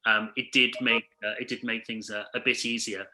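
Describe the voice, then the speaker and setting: A man's voice: mid-range voice, little pitch movement, fairly quick, pushed and bright. A man speaking informally as a panel guest on a video call, with hesitant, stop-start phrasing. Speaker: panel speaker, arts editor and book prize judge.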